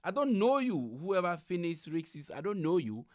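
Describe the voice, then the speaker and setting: A man's voice: sits high, very animated, normal speed, no room sound. Male livestream host, casual and incredulous reaction commentary, close dry sound. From Cameroon.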